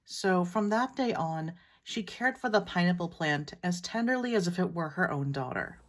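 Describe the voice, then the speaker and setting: A Filipino American woman who tells a folktale in a relaxed, matter-of-fact way. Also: lowish voice, moderate pace. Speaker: storyteller. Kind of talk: casual storytelling.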